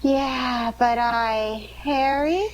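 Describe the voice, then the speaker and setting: Woman playing a high-voiced, pleading moll. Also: steady tone, rough recording. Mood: fearful. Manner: pleading, halting. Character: terrified, pleading female crook's moll. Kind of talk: 1940s radio crime melodrama acting.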